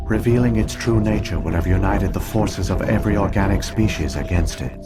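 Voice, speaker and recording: An actor playing an ancient alien virtual intelligence in a video game, speaking in a measured, formal, solemn and coldly logical manner. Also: male voice, low-pitched, close to an everyday voice. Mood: grave, measured. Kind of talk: scripted video game voice acting, exposition. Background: game music.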